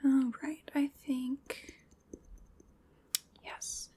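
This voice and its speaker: A playful, fussy female vampire acted out by a woman whispers softly in an ASMR roleplay. Her breathy voice sits a little high and moves very slowly, musing and coaxing with gentle bossiness. A faint noise lies under the recording. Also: little pitch movement. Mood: musing, unhurried. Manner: unhurried, musing, coaxing and mildly bossy.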